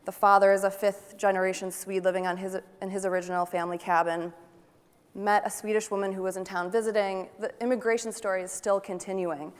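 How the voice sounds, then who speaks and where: A young woman at a stage microphone speaks to a conference crowd in a chatty, enthusiastic way and asks them questions as she goes. Her voice sits at an ordinary middle pitch. A long hall reverb trails behind every phrase. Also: flat delivery, brisk, clean tone. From Wisconsin, United States. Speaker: photographer giving a talk. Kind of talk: conference presentation to an audience.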